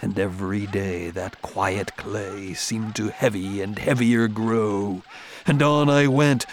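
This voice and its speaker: An actor playing a haunted gold prospector who recites a grisly Arctic horror poem in a theatrical way, swinging between hushed dread and cries. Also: male voice, lowish voice, slight background noise. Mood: tormented, wild. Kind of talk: dramatic horror poetry recitation over music.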